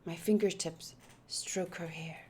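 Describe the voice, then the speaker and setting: A woman streaming a visual novel, reading it aloud deliberately with pauses and chatty asides. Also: fairly low pitch, fairly even intonation, darkish tone, a little hiss or hum. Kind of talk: playthrough narration with chatty asides.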